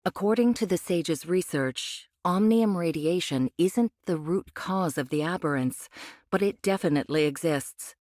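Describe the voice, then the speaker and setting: Woman playing a low, cool cyborg angel rebel. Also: moderate pace, a little mellow, no echo, studio quality, natural voice. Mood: calm, explanatory. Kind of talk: anime game voice acting, expository dialogue.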